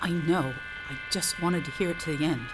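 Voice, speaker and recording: An actress playing a wry, talkative astronaut facing doom in an audio drama, sardonic and conversational in manner. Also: female voice, low-pitched, fairly quick, music in the background, lightly acted, rough recording. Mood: wry, bitter. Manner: sardonic, conversational, hesitant asides. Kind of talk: naturalistic audio drama acting.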